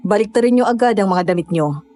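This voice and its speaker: A woman's voice: medium pitch, animated, fast, warm tone, faint music behind, lightly acted. Grave mystic woman giving warnings, acted. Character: solemn mystic woman warning mountain climbers. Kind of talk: horror audio drama acting.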